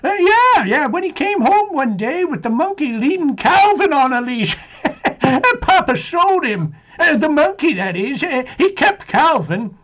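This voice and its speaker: A gleeful old-timer with an extremely high, cackling voice that swings about animatedly. He stammers and rambles through folksy tall tales in the manner of an old-time radio comedy. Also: sing-song, a bit bright, full character voice. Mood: gleeful, rambling. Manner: halting, stammering, folksy storytelling.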